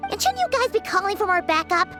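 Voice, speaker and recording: Game sidekick: childlike floating fairy, American, extremely high and squeaky, excitable, clear music underneath. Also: female voice, quick pace. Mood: wary. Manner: perky, exclamatory, plain-spoken. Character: small floating childlike female fairy companion, excitable and blunt. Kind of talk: video game voice acting. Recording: music behind the voice.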